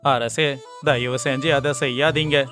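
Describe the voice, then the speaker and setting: A man playing a rich, miserly merchant in an animated moral story, sly and obsequious, protesting in a whining way. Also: mid-range voice, unhurried, soft background music, natural voice. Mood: pleading.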